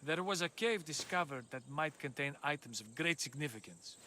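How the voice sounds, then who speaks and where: A man's voice: on the high side, expressive, normal speed, sharp tone, a little hiss or hum. A man playing a courteous, worried scholar of ancient Greece in a video game, speaking politely and earnestly. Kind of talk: video game cutscene acting.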